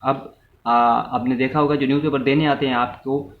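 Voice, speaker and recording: A man's voice: medium pitch, steady tone, normal speed. Pakistani guy teaching law, explains stuff step by step, echoey muffled audio w some noise. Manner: didactic, repeats and rephrases points, checks in with listeners. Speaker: law lecturer. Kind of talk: explanatory lecture mixing Urdu with English legal terms. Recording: muffled, roomy echo, background noise.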